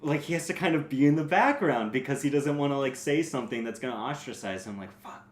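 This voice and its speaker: A man's voice: medium pitch, expressive, fast talker, a little hiss or hum. A man co-hosting a casual book discussion, rambling and joking with plenty of swearing. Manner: rambling, jokey, profane, acts out imagined dialogue. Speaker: podcast co-host. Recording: echoey room.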